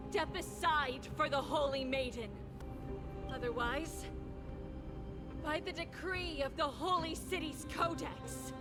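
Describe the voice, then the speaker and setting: A woman playing a bold, commanding holy maiden in a fantasy video game, deliberate and challenging, with music behind her. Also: high voice.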